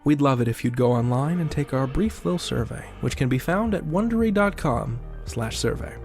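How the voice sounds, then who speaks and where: Man, podcast host, mid pitch, scripted announcer delivery, playful, theatrical, clear music bed. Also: expressive, fairly quick, a little mellow.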